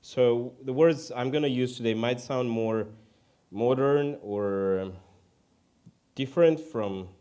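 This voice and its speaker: A man from Ukraine with a Ukrainian accent preaches informally in English as a second language, halting and self-deprecating. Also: medium pitch, warm tone, smooth. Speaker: guest preacher. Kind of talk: informal sermon.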